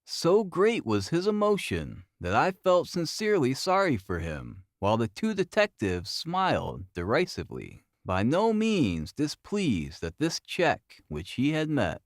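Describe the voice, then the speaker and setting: Very expressive male audiobook narrator. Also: mid-range voice, a little slow, few pauses, no room sound, clean studio sound. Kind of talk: scripted dramatic narration of a classic detective novel.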